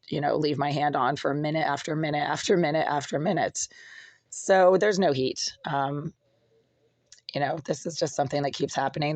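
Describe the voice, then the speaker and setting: A vlogger with a hoarse, raspy voice that cracks and breaks, ranting in an aggrieved way from a cold apartment. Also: mid-range voice, darkish tone, no echo. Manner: aggrieved, ranting, insults critics. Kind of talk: casual complaint vlog.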